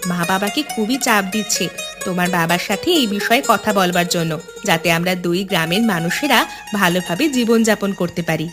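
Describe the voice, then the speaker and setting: A woman's voice: fairly low pitch, a little fast, music in the background, close to an everyday voice. A woman playing a touchy, coquettish young village girlfriend in a cartoon, pouting and reproachful. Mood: confiding, insistent. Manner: pouty, reproachful. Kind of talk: Bengali cartoon dialogue.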